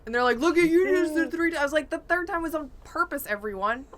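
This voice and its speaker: A woman co-hosting a gaming podcast, chatting casually and telling stories in an animated, exasperated way, acting out bits of dialogue. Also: sits high, quick pace. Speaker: podcast co-host.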